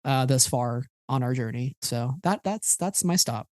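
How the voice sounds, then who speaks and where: A man's voice: mid-range voice, animated, measured pace, a little mellow, no room sound, clean studio sound. A man hosting a podcast, chatting casually about a fan game with many filler words and restarts. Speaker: podcast host.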